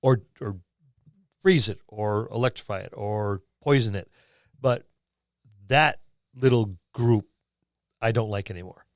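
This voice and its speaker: Low-voiced man chatting in a dry space. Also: a little slow, long pauses, no echo. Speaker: podcast co-host. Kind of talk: casual tabletop gaming discussion.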